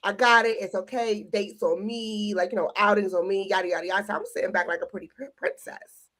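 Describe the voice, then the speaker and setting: A woman's voice: medium pitch, fairly even intonation, fairly quick, a bit bright. A woman co-hosting a podcast, talking candidly in a casual chat and acting out quoted remarks. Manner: candid, storytelling with quoted asides. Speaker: podcast co-host.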